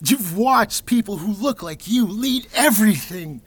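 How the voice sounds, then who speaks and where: Man voicing a sarcastic bitter young man, husky, lively pitch. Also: unhurried, dry recording, full character voice. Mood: passionate. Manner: sarcastic, pointed. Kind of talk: audio drama acting.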